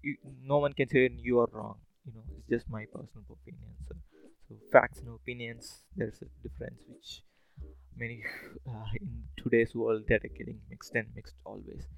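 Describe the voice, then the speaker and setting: Rambling man with a creaky voice. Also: mid-range voice, little pitch movement, moderate pace, low-quality recording. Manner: rambling, hesitant, informal. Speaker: podcast host. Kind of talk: casual solo podcast talk.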